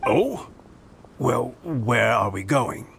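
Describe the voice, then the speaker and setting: An actor playing a kindly, sentimental old grandfather in a game cutscene, halting and chuckling. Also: medium pitch, very animated, creaky, background noise, full character voice. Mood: cheerful, teasing. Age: older. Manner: halting, chuckling, stammering with emotion. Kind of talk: video game cutscene voice acting. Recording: music behind the voice. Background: background music.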